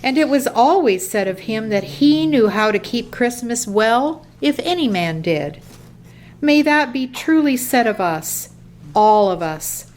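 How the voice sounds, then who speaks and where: A woman's voice: a little high, lively intonation, a little slow, darkish tone. A woman narrating a classic story as scripted storybook narration for a radio play.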